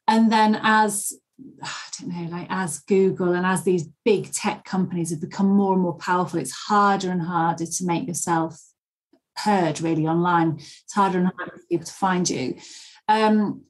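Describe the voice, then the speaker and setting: A middle-aged woman who teaches pronunciation, chatting as a podcast guest with many restarts. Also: mid-range voice. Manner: chatty, frequent restarts and fillers. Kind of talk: conversational podcast interview.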